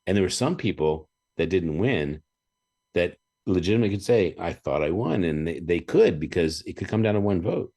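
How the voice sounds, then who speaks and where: A man hosting a podcast, chatting casually and telling animated stories with chuckles and frequent throat-clearing. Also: low-pitched, normal speed. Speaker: podcast host. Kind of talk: casual interview chat.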